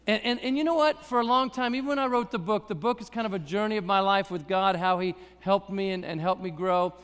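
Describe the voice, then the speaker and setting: A man preaching a sermon in a church with a raspy voice, talking conversationally with self-deprecating humor. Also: high voice, fairly quick, a bit bright, long reverb tail. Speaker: guest preacher.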